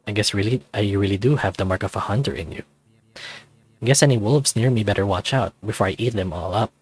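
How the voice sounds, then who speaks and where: Low-voiced, playful wolf guy read by a man. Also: fairly quick, breathy edge. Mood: cocky, playful. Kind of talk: first-person visual novel narration and dialogue.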